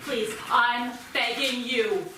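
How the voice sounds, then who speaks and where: A woman's voice: fairly high pitch, fairly even intonation, slow pace. Woman acting protective mother, very echoey and distant. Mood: desperate pleading. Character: protective, struggling single mother of a teenage boy. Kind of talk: staged reading of a stage play. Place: theatre stage, recorded from a distance. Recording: distant mic, echoey theatre, clear noise.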